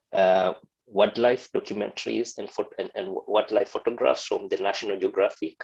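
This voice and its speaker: A man from Kenya with a Kenyan accent, giving a friendly, halting presentation to students. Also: fairly low pitch, creaky, darkish tone, lo-fi sound. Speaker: guest photographer.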